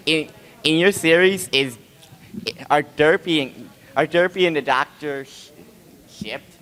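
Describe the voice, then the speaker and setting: A man asking a question from the audience in a hesitant, halting way. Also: fairly high pitch, fairly bright tone. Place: large indoor convention hall. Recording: echoey hall, faint crowd.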